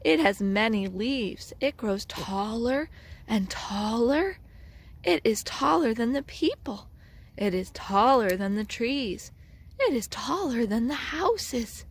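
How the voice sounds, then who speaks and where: A woman reading a children's picture book aloud as a storyteller, in an expressive, deliberate way with dramatic emphasis. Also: fairly high pitch. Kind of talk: children's read-aloud narration.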